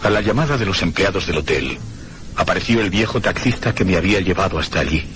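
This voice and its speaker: A man playing a haunted traveller who narrates a radio mystery drama in a solemn, deliberate, suspenseful way. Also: deep voice, animated, a bit bright, a little hiss or hum. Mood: sombre. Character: haunted one-armed male traveller recounting mysteries, solemn, troubled. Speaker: narrator and protagonist.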